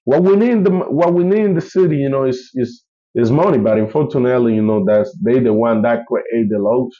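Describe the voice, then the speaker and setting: A man's voice: medium pitch, a little fast, dull audio. Man with a Colombian Spanish accent, rambling. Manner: rambling, many fillers and restarts. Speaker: travel vlogger. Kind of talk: casual vlog update.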